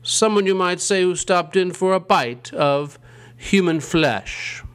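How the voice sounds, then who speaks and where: A man playing a ghoulish, gleefully punning college dean who hosts a campy horror show with theatrical, drawn-out delivery. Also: high voice. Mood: gleefully macabre.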